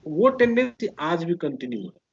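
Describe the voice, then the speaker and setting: Guy with a pretty high voice explaining stuff in a chat, halting, repeats himself, dull lo-fi sound. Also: sing-song, slight background noise. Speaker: interview guest. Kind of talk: explanatory conversation on history. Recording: muffled lo-fi recording.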